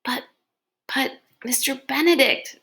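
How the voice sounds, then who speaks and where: An anguished young boy from a storybook, voiced by a woman, thinking aloud. He is hesitant and pleading, with slow, halting words. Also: sits high, gravelly, pushed and bright, pitched above the performer's own voice, exaggerated voice. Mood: hesitant protest.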